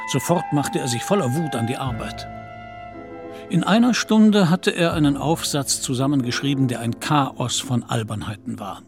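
A man reads literary narration for a radio play. His voice is mid-pitched, and music is clearly heard behind it.

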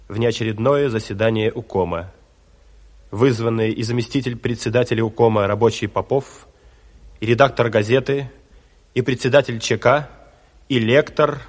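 A man reading scene directions as the announcer of a Soviet radio play, in scripted narration. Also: mid-range voice. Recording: reverberant studio of 1970s radio play.

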